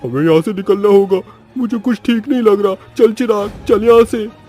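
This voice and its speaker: A man voicing a worried schoolboy who gravely warns of doom in an animated horror story. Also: high voice, moderate pace, soft background music. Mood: fearful. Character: worried schoolboy grimly warning his friend of doom.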